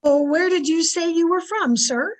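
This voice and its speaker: An actress playing a folksy, sociable, wry wife in an old-time radio comedy, polite and gently teasing. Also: female voice, extremely high pitch, normal speed.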